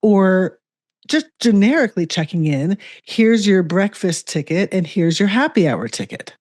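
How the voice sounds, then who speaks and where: A woman's voice: medium pitch, sing-song, a little slow, a little mellow. A woman hosting a conversational interview, affirming and reflective, building her thoughts into questions. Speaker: interview host.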